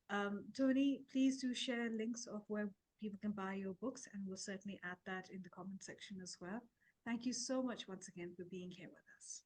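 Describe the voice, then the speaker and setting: A woman hosts an interview at a literary festival. Her voice sits at an ordinary middle pitch. She speaks encouragingly and backs up her guest with short replies. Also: poor audio. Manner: warm encouraging, brief affirmations. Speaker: host. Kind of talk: interview hosting.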